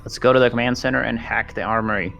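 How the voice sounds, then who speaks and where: A man's voice: medium pitch, fairly even intonation, quick pace, gravelly, a bit bright, slight background noise. A man chatting casually as a player in a tabletop roleplaying game.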